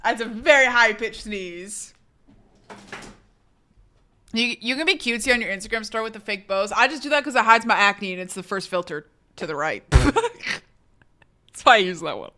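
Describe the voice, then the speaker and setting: A young woman with a bright, somewhat high voice chats loosely with her live audience, full of fillers, restarts and casual swearing. She reads comments aloud and answers them in an easygoing way. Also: moderate pace, long pauses. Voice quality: says her voice is fairly low and relaxed when tired. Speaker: streamer.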